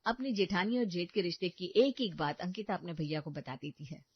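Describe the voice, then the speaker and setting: A woman reads scripted storybook narration in a steady, even manner. The audio is dull and lo-fi, and it sounds dry and close. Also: on the low side, a little fast, breathy edge, no room sound.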